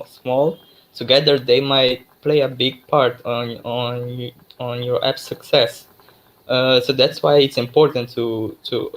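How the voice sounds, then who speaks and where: A man's voice: medium pitch, slight background noise, low-quality recording. Mozambican man giving a casual tech talk. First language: Portuguese. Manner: relaxed, many fillers and restarts. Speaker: guest speaker, developer expert. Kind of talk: online tech talk presentation.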